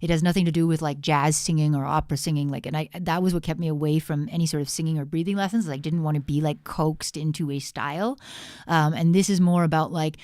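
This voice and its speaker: A woman's voice: sits low, steady tone, fast, darkish tone, no room sound, studio quality. A woman talking casually and candidly on a feedback livestream, encouraging and slangy like a friend. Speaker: music producer giving feedback on livestream.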